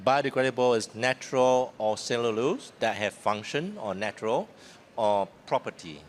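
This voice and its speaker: A man's voice: medium pitch, animated, normal speed. A man giving a live business presentation in informal, simple English. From Vietnam and New Zealand. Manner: informal, simple non-native English phrasing. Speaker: company founder, presenter. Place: seminar stage at a trade fair. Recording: noticeable ambient background noise.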